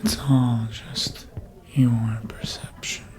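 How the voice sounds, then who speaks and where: A performer voicing a sly, seductive, manipulative vampire in a breathy ASMR whisper, coaxing slowly and deliberately as if hypnotizing. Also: medium pitch, level tone, a little mellow, lightly acted. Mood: hypnotic coaxing.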